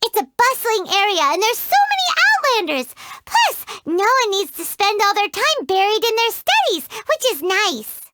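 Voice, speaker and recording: A woman's voice: very high voice, sing-song, moderate pace, hardly any pauses, heavy background noise. An actress voicing a tiny, bubbly floating female companion in a video game, who talks about herself in the third person.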